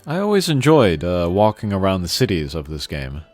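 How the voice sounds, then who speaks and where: Low-voiced man doing game commentary. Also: huge pitch swings, normal speed, darkish tone, dry recording, faint music behind. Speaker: video game streamer. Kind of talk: casual gameplay commentary.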